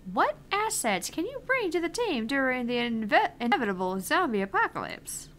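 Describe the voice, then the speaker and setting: A woman's voice: high voice, sing-song, moderate pace, fairly bright tone. A woman voicing an eccentric, earnest older female arcade owner, theatrical and probing as she reads visual novel dialogue. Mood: wry, earnest.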